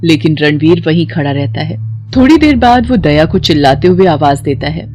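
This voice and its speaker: A woman's voice: low voice, very animated, normal speed, dark tone, faint music behind. A woman narrator telling a scripted story in a dramatic storytelling style.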